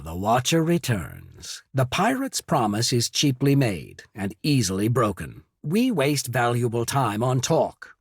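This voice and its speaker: Courteous game strategist, husky, formal and measured, subtly calculating, lively pitch movement, dry close sound. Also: male voice, dry recording, exaggerated voice. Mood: measured, skeptical. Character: cool, shrewd, courteous male military strategist and official. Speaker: video game character voice. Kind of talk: scripted game character dialogue.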